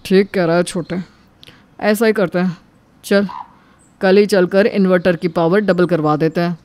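A woman voicing a weary, grumbling married man, the elder brother in an animated family story. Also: lowish voice, moderate pace, no room sound, voice pushed down, natural voice. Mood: relieved, agreeable.

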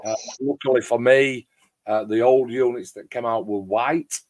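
A middle-aged man with a loud voice, chatting casually and in a rambling way as host of a hobby livestream. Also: mid-range voice, steady tone, normal speed, dry recording. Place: home garage workshop shed.

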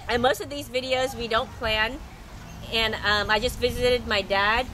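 Woman, middle-aged, hoarse, rambling, chatty, loud talker, faint background noise. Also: a little high, fairly even intonation, unhurried, low-quality recording. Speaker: vlogger. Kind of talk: casual voice-over chat.

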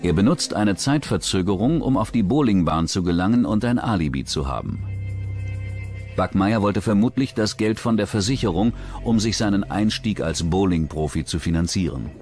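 A man narrating a true-crime documentary in a measured, serious scripted delivery. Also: deep voice, animated, darkish tone, soft background music.

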